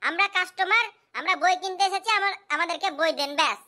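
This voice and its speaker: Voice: extremely high pitch, fast talker, pushed and bright. A performer voicing a cheeky, pushy schoolboy customer in a comedy cartoon, with whiny, insistent delivery. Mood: complaining. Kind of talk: comic cartoon dubbing.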